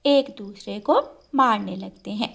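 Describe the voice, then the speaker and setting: A woman's voice: medium pitch, a little slow, vocal fry, fairly bright tone, husky. A woman narrating a scripted children's cartoon story.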